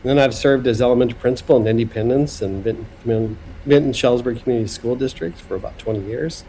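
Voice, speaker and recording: Man from Iowa in his fifties, friendly podcast guest on a video call, middle pitch, faint noise. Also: quick pace, dark tone, rough recording. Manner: plainspoken, friendly, with light humor. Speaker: guest, school superintendent. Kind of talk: podcast interview.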